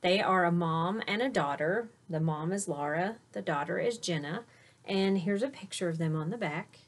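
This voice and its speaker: A woman teacher reading a picture book to children, expressively, with explanatory asides along the way. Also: on the low side. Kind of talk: children's storybook read-aloud with commentary.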